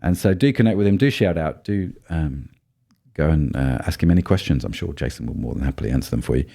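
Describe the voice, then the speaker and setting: A man co-hosting a podcast in casual chat, his deep baritone made rougher by a cold, thinking aloud with many ums and restarts. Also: lively intonation, fast talker, dark tone. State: voice low and rough from a cold spell. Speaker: podcast co-host.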